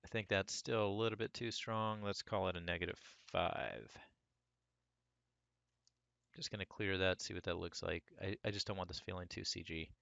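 A man with a hoarse voice from a cold, talking through a sculpting tutorial step by step in a relaxed way. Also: low voice, normal speed, no echo, muffled recording. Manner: relaxed, talks through each step as he works. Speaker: 3D sculpting instructor. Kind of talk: screen-recorded software tutorial.

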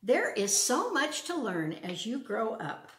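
An older American woman in her sixties or beyond hosting a children's storytime, talking and reading aloud in simple, deliberate phrases for young listeners. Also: fairly high pitch, big pitch swings, a bit bright. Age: 60s or older. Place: living room at home, indoors. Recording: roomy echo.

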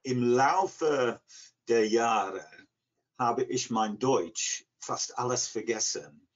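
An English man in his sixties with an English accent, answering interview questions haltingly as he searches for words in a second language. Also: medium pitch. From England, near Liverpool. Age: 60s. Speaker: interview guest, language learner. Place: living room at home. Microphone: computer mic on video call. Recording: dull, lo-fi video call.

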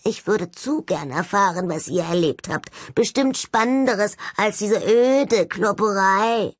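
A woman's voice: mid-range voice, lively intonation, a little slow, no echo, studio quality, voice pushed up. Woman voicing a sardonic, gleefully morbid ghost skull. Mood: impatient, scornful. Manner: mocking, theatrical drawl.

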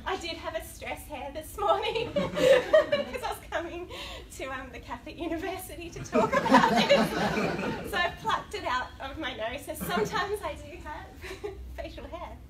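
A woman with an Australian accent giving a conference talk with a cold, chatty and laughing often, bantering with the audience. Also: high voice. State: has a cold. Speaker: academic panel speaker. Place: lecture room. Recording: distant, noisy room recording.

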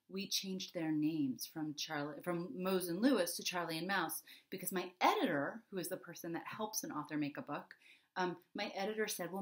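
A middle-aged American woman, a children's author, explaining things to young children in a simple, conversational teaching manner at home. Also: mid-range voice. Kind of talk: explaining to young children before a story reading. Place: home office, indoors. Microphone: computer webcam microphone at desk distance.

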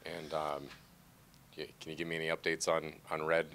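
A man's voice: extremely low pitch, completely flat delivery, normal speed, fairly bright tone. A man asking hesitant questions at a press conference, with many fillers. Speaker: reporter.

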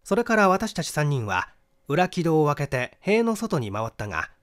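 A man narrating a mystery novel in a theatrical, deliberate storytelling manner. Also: a little high. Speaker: audiobook narrator and stage actor.

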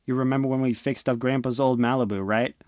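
A man's voice: medium pitch, steady tone, fast talker, darkish tone, dry recording, studio quality, close to an everyday voice. A young man playing a curt, defensive teenage older brother in an amateur audio drama. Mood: urgent.

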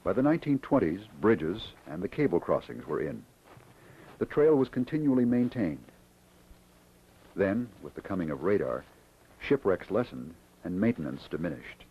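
A man giving measured, scripted documentary narration about coastal history. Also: deep voice, big pitch swings, husky. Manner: measured, informative. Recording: dull lo-fi 1960s film soundtrack with faint hiss.

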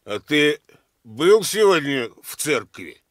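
An actor playing a stern, self-important village elder in a radio play, questioning people pompously. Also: male voice, on the high side, big pitch swings, slow pace, a bit bright, dry recording, studio quality, full character voice. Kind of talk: Soviet radio play acting.

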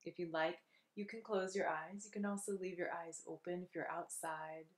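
Low, even-toned woman guiding meditation. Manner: soothing, unhurried, gently instructive. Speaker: meditation guide. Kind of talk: guided meditation.

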